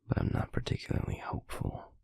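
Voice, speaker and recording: A man playing a grave, protective werewolf pack alpha in an audio drama, with a husky, gravelly voice and measured, formal speech. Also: no room sound, in a whisper, studio quality, lightly acted. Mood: grim, formal. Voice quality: gravelly, husky, breathy low voice. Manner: measured, deliberate, formal, hushed. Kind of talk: audio roleplay drama acting.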